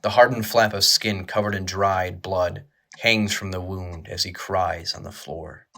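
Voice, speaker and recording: A man narrates a horror audio drama in a dramatic, measured storytelling style. His voice is on the low side, with a bright, tense edge. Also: fairly even intonation.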